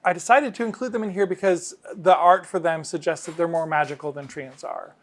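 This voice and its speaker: A man's voice: high voice, fairly even intonation, a little fast. A middle-aged man explaining his game design work casually and with enthusiasm in an interview.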